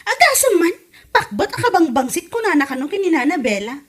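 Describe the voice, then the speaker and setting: A woman's voice: extremely high pitch, sing-song, fairly quick. A woman playing a hard-up, complaining villager in an Ilocano comedy drama, whiny and exasperated, with an Ilocano accent. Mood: grumbling.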